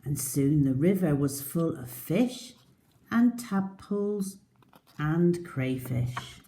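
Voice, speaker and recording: A woman reads a picture book for young children in a slow, lowish, mellow voice. Her delivery is expressive and dramatic, often sinking to a hush. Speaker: children's storyteller.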